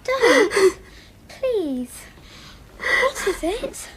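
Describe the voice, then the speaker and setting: A woman's voice: very high-pitched, big pitch swings, drawn-out words, very noisy, full character voice. A woman playing a kind, polite young girl in a radio theatre drama, earnest and pleading with proper diction.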